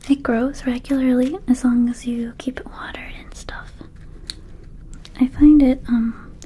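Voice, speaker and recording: A woman's voice: a little high, mellow, slight background noise, natural voice. A woman voicing a small, friendly, scatterbrained female vampire shopkeeper in an ASMR roleplay, whispering in a hesitant, casual, confiding way. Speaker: ASMR performer.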